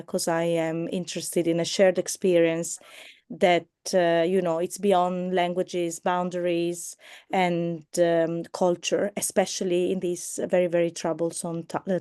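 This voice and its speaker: A woman from Italy with an Italian accent, a circle facilitator speaking in casual chat on a video call, pausing often with fillers. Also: lowish voice, mellow, clean tone, no room sound, clean studio sound. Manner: hesitant, many fillers.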